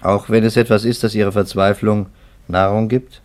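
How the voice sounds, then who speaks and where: Actor as low-voiced, coolly probing investigator. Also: male voice, flat delivery, darkish tone, natural voice. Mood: challenging. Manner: measured, deliberate, pointed. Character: probing, skeptical investigator, coolly ironic and articulate. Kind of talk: 1950s German radio play acting.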